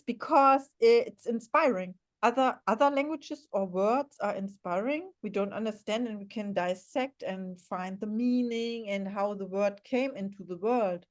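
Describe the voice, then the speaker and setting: A woman whose first language is German, hosting a podcast interview in an enthusiastic, encouraging way. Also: medium pitch. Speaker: podcast host.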